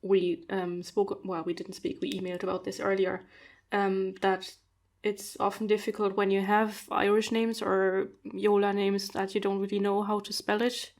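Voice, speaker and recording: A woman's voice: mid-range voice, completely flat delivery, moderate pace, few pauses. A woman, not a native speaker of English, chatting on a video call in a thoughtful, hesitant way with many fillers. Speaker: podcast host. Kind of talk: casual conversation.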